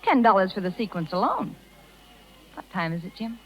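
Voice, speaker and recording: An actress playing a headstrong, breezy young circus rider with slangy delivery, in 1930s radio style. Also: female voice, mid-range voice, very animated, moderate pace, a bit bright, low-quality recording. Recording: 1930s radio recording, music behind.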